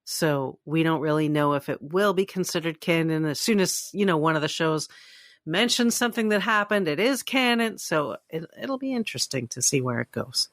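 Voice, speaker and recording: A woman's voice: low voice, lively intonation, normal speed, no echo, studio quality. A woman from Toronto, Canada, co-hosting a podcast and chatting casually about entertainment news. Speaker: podcast co-host.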